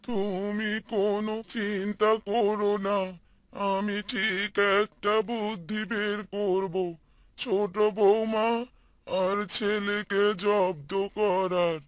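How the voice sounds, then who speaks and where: A man voicing a patient, scheming elderly father in a Bengali cartoon, speaking in a deliberate, instructing way. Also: sits high, little pitch movement. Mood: reassuring.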